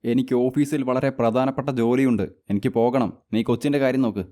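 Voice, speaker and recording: A man voicing a harsh, short-tempered stepfather in an animated moral story. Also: medium pitch, a little fast, lightly acted. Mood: angry. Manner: gruff, scolding, later softly remorseful.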